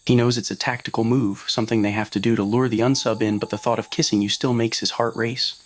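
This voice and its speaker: A man's voice: mid-range voice, fairly even intonation, fast talker, slight background noise. Man narrating a scripted romantic story. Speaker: narrator of fan fiction audio roleplay.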